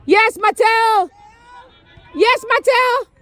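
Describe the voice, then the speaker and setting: A woman cheering on a youth soccer team from the sideline at an outdoor field, shouting players' names and encouragement. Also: very high-pitched, very slow, some chatter behind.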